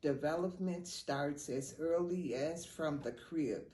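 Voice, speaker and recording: Slow, earnest Kenyan woman, roomy and distant. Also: deep voice, mellow. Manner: earnest, unhurried advice with pauses and fillers. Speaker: early childhood guest expert. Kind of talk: podcast interview. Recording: roomy sound from a distant mic.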